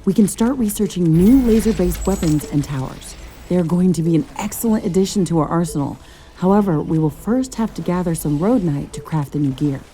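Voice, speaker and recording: A woman playing an eager scientist-commando in a mech suit, speaking crisp, confident, analytical video game dialogue. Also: lowish voice, animated, a little fast, dark tone. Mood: focused, keen. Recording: music bed behind the voice.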